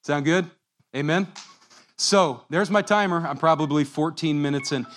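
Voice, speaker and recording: A man's voice: a little high, fairly even intonation, normal speed, fairly bright tone, a little hiss or hum. Man speaking to a church, conversational. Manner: conversational, with frequent fillers. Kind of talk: church address.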